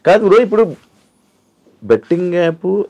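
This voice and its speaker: A man's voice: sits high, sing-song, normal speed, lo-fi sound. A man working as an interviewer, asking brief questions casually and giving short acknowledgements.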